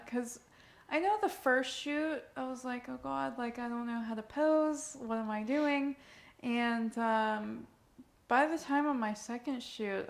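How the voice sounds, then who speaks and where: A young woman in her twenties answers questions about modeling, her slightly high voice dropping into a gravelly fry. She speaks casually and laughs easily, peppering her talk with "like" and "um.". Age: 20s. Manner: giggly, uses "like" and "um" a lot. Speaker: model and interview guest. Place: indoors at a rented home.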